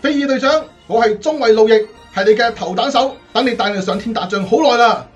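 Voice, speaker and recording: High-voiced cocky young lieutenant. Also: male voice, brisk, soft background music. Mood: eager. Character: cocky, jovial young male bombardier lieutenant. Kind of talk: Cantonese radio drama acting.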